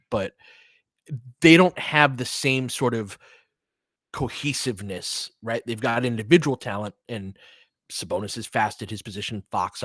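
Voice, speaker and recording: A man with a medium-pitched voice hosts live basketball commentary. He moves between calling the action and casual analysis in an informal, fan-like way. The sound is close and dry, with hardly any room on it. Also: fairly bright tone, no room sound. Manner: conversational, informal, fan-like reactions to plays. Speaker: host and play-by-play commentator.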